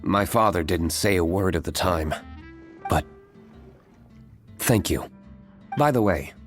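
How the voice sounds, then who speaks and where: A man's voice: sits low, slow pace. An actor playing a reserved, polite young nobleman in a video game, composed and understated. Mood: polite, encouraging. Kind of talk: scripted video game voice acting. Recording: game audio with music behind.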